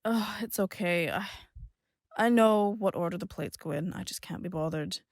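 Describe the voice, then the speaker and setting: Blunt young daughter, close dry sound actress. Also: female voice, lowish voice, normal speed, no echo. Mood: apologetic, candid. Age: young adult. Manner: blunt, sardonic. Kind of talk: audio drama acting, conversational.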